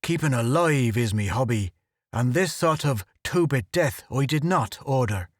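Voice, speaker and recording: A man's voice: medium pitch, animated, unhurried, no echo, studio quality. A man voicing a big, brash Irish adventurer with an Irish accent and a swaggering, joking manner. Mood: defiant. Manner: bluff, swaggering, jokey.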